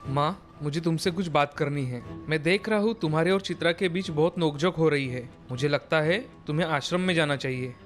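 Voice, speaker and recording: A man's voice: fairly high pitch, brisk, few pauses, soft background music, close to an everyday voice. A man playing a troubled, easily swayed young husband and son in a cartoon story, earnest and dramatic.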